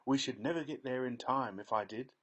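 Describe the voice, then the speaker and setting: A man voicing an excitable, eccentric male chocolatier who calls out loudly and triumphantly. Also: mid-range voice, a little fast, lo-fi sound, lightly acted. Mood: brisk excited. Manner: loud, bright, triumphant exclamations.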